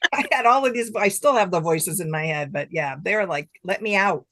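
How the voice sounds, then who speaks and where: A woman's voice: medium pitch, moderate pace, no room sound. A woman guest chatting casually in an interview, often laughing as she talks and acting out imagined exchanges. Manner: animated self-quoting, acting out imagined exchanges; giggly, laughs often while talking. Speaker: guest author.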